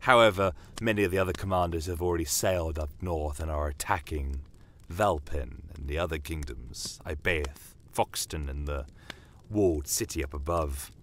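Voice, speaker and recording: A man voicing a shrewd, bitter non-human desert commander in a dramatic audio story, speaking in a measured, theatrical and courteously menacing way. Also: rumbling low, fairly bright tone, a little hiss or hum. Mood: courteous, persuasive. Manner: measured, theatrical, pointed rhetorical questions. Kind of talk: dramatic first-person audio story narration. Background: battle aftermath ambience.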